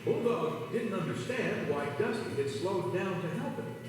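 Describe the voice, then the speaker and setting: A man's voice: high-pitched, lively intonation, normal speed. A man reading a children's read-along story as a scripted narrator. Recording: echoey hall, distant, music behind. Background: music.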